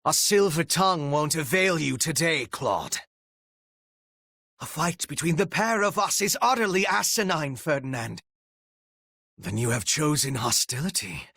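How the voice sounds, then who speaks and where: Game role: cold, devoted male strategist, raised pitch with animated swings, archaic formal phrasing, menacing rasp. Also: unhurried, no room sound, studio quality, exaggerated voice. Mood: cold menace. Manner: formal, archaic, theatrical diction. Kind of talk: video game voice acting.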